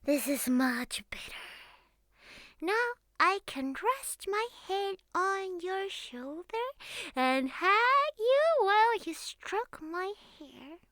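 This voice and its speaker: Casting: playful young catgirl for ASMR roleplay. Very high, unhurried, pouty, childlike and affectionate, close dry sound. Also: big pitch swings, sharp tone, no room sound, heavily acted. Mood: content, cuddly. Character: young catgirl, former cat, clingy, playful, naive.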